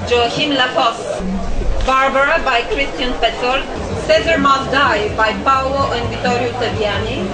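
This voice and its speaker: A woman's voice: high-pitched, a little slow. A woman festival representative making a public announcement, reading out a list to an audience. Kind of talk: public announcement of a film selection. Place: echoey public venue. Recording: echoey, distant mic, very noisy crowd.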